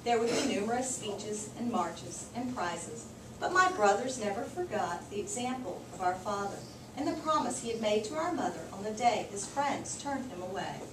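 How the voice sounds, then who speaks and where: A woman teacher reading aloud and talking with a group of children. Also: mid-range voice. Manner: repeats and affirms listeners' answers. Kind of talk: storybook read-aloud and class discussion. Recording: echoey, noisy room, distant microphone.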